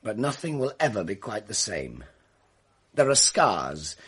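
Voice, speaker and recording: A theatrical, embittered man in a radio mystery. His voice is husky and swings widely in pitch, with florid, carefully turned phrasing full of spite. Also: big pitch swings, measured pace, fairly bright tone, heavily acted. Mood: wounded, bitter. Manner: florid, precise, melodramatic delivery. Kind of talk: radio mystery drama acting.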